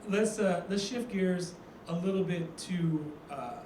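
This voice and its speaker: High male host voice, off-mic, echoey room, halting questions. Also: a little mellow, recorded from a distance, slight background noise. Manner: hesitant questions with many fillers. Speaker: interview host. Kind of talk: casual interview questions.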